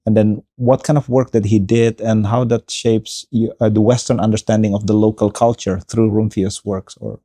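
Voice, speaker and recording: A man's voice: fairly low pitch, flat delivery, moderate pace, few pauses, dark tone, no room sound, studio quality. A man hosting an interview, asking earnest questions with stammering restarts. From Indonesia. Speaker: podcast host.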